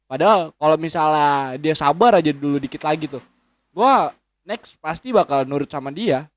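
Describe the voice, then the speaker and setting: A man's voice: fairly high pitch, lively intonation, moderate pace, no room sound. A man, chatting as a guest in a rambling, slangy way with lots of swearing and restarts. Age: 20s. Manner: rambling, frequent swearing and self-correcting hesitations. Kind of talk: casual chat.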